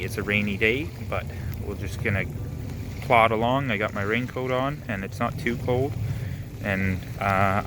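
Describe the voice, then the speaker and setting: A man casually narrating his rock hunt on a rainy beach outdoors, relaxed and musing, thinking aloud with small restarts. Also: lowish voice, noisy background. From Canada. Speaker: rockhounding vlogger.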